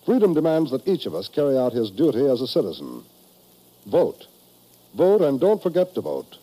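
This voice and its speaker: A man with an American accent reading a formal, emphatic 1950s radio announcement in a measured way. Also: mid-range voice, mellow, poor audio. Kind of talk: 1950s radio announcement, scripted public service address. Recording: old radio broadcast recording.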